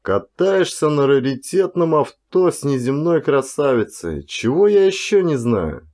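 Man voicing a cocky, animated carefree guy. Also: a little high, a little slow, pitched above the performer's own voice. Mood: impressed, teasing. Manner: casual, playful banter. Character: carefree, cocky young womanizing man, teasing his friend. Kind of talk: audiobook character dialogue.

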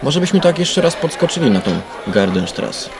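An actor playing a world-weary, blunt police detective talking colloquially in a crime radio drama. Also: male voice, on the high side, sing-song, moderate pace, loud music behind the voice. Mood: casual, conspiring.

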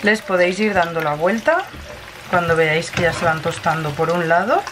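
A woman with an accent from Spain talks through a recipe in a chatty, informal way. Her voice sits on the low side. She is recorded in a home kitchen, with clear frying and stirring noise around her. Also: moderate pace, a bit bright. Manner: chatty, explanatory, informal with many diminutives. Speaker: cooking vlog host. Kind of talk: casual cooking tutorial.

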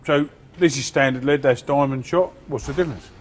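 A man's voice: medium pitch, brisk, slight background noise. A man visiting a factory, agreeing eagerly and summing up each step of the process in casual chat. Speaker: visiting presenter. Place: large factory floor.

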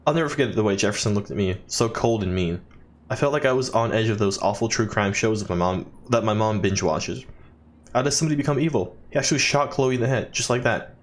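A man's voice: on the low side, fast talker. A man doing a let's play, reading in-game journal text aloud expressively and dropping in jokey asides. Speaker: let's player.

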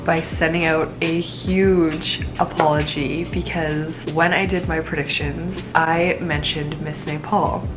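A woman's voice: low voice, fairly even intonation, slow, few pauses, music in the background. A young woman with a stuffed-up cold, reviewing a pageant in a bubbly, rambling, casual vlog to camera from a small room. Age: young adult. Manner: bubbly, frequent "like", rambling asides. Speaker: pageant vlogger. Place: small indoor room at home.

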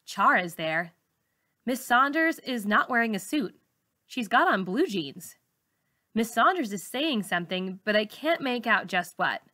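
Woman, slightly high bright voice, audiobook narration, first-person storytelling. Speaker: teacher reading aloud.

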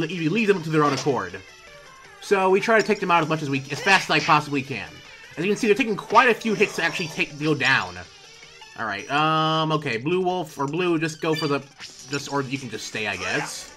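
A man giving casual, rambling gameplay commentary as a let's player, halting and repeating words as he goes. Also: a little high, sharp tone. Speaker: let's player hosting a video game series. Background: video game music.

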